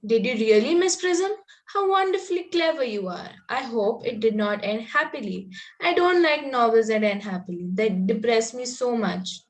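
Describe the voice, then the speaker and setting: A woman's voice: a little high, animated, normal speed, lightly acted. A woman playing an artless, romantic young Victorian girl in a comedy table read, ingenuous and politely contrary. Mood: wide-eyed.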